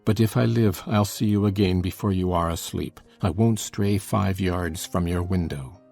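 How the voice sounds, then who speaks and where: A man playing a tormented, bitter lover in a dramatized audiobook, with a gravelly, husky voice and an anguished, intense delivery. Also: sits low, moderate pace, darkish tone, faint music behind. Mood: urgent. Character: tormented, brooding lover, bitter and fiercely passionate.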